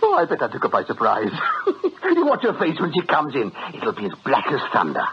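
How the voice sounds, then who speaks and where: An actor with a British accent playing a jovial, slippery agency boss in a radio comedy-drama, breezy, wheedling and mock-polite. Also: male voice, mid-range voice, wildly swooping pitch, fairly quick. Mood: playful, teasing. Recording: muffled radio drama recording, faint hiss.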